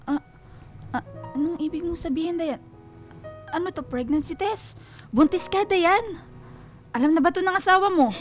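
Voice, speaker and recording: A high-pitched loyal female best friend, fussing and protective. She exclaims in a radio drama manner, with music underneath. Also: normal speed, long pauses. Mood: worried. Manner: animated, exclaiming, scolding concern.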